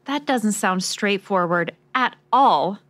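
A woman playing a brisk, impatient, sardonic ace reporter in a noir radio drama pastiche. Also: mid-range voice, animated, fairly bright tone, dry recording. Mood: skeptical.

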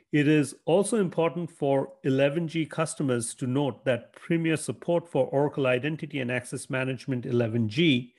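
Measured man reading a corporate presentation. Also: medium pitch, a little mellow. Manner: measured, formal, reads from a script. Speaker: product presenter.

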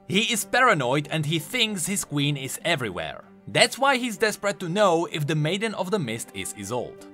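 A man's voice: on the high side, sharp tone. A man giving enthusiastic, emphatic explanatory commentary on video game lore. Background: faint music.

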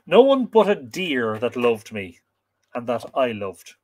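A man's voice: medium pitch, big pitch swings, measured pace. A man reading the lines of a wild young boy raised by a deer, telling his sorrowful tale.

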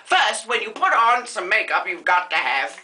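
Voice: mid-range voice, big pitch swings, normal speed, roomy sound, noisy background, close to an everyday voice. A performer playing a vain, aging female film star who rambles and drops names in a grand diva manner, in a comedy makeup-tutorial sketch. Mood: campy.

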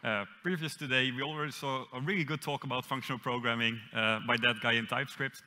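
Man, Dutch accent, young adult, conference speaker, self-deprecating humor, bright voice, reverberant hall. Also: mid-range voice, steady tone, fast talker, slight background noise. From Netherlands. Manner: self-deprecating, lightly joking. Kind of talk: tech conference talk. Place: large auditorium stage.

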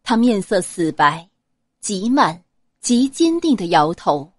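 A woman reads a serialized novel aloud with expressive flair. Her pitch moves widely through the lines, and the sound is dry and close, with no room around it. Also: slow pace, dry recording. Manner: expressive, dramatic reading. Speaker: audiobook narrator. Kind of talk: storytelling narration of a serialized novel.

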